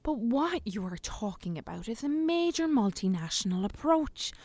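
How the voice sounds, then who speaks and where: A woman's voice: fairly high pitch, very animated, normal speed, darkish tone, no room sound. A woman acting a sceptical, indignant parliament delegate in a radio play, formal and challenging.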